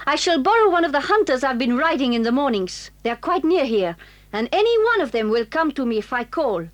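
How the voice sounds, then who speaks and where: A high-voiced, bossy, bold schoolgirl. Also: sing-song. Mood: decisive. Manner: brisk, bossy, urgent. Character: bold, decisive schoolgirl raised in a circus. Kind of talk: children's radio drama acting.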